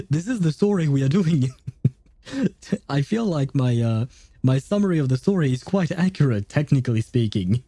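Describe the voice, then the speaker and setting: A man's voice: on the high side, sing-song, measured pace, pauses often, dark tone, no room sound. A man livestreaming a game, giving casual commentary and chatting with his viewers.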